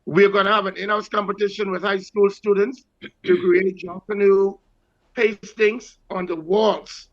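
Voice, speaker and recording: A man's voice: high voice, flat delivery, a little slow, lo-fi sound. A Bahamian man speaking as an interview guest, explaining things politely in a conversational way. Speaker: guest, leader of a Junkanoo group.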